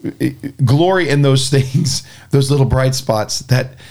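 A man's voice: mid-range voice, unhurried, a little mellow. A man hosting a faith podcast in casual chat, joking and often restarting his words. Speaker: podcast host.

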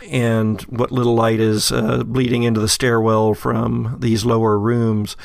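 A man narrating a tabletop horror game as game master, in halting, descriptive phrases. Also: medium pitch, vocal fry, dry recording, clean studio sound.

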